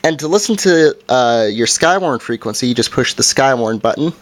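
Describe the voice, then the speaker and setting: Guy doing a casual product review, medium pitch, kinda halting, fixes himself as he goes. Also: lively intonation, a little hiss or hum.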